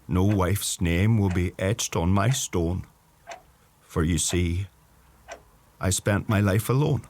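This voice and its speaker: An actor with a Donegal Irish accent playing a brooding, awkward young farmer in a radio play. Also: male voice, sits low, slow, darkish tone, a little hiss or hum. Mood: mournful. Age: young adult. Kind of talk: radio play acting with poetic monologue.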